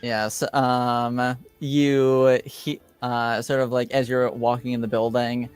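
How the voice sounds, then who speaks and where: A man improvising narration as game master of a tabletop game, halting and searching for words over music. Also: medium pitch, flat delivery, clean tone. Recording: music playing behind the voice.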